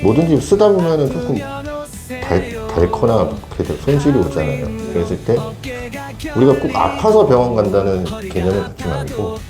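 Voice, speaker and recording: A man's voice: fairly low pitch, sing-song, normal speed, over music. A man, a former patient, earnestly sharing his experience of treatment in a loose, reflective way. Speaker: patient guest. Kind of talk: casual testimonial.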